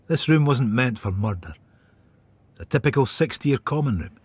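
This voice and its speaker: A brooding Scottish detective telling his own story in a radio drama. His voice is husky and mid-pitched, and he speaks in terse, sombre, observant fragments. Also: animated, moderate pace, dry recording. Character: brooding, world-weary male detective narrating. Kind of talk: first-person radio drama narration.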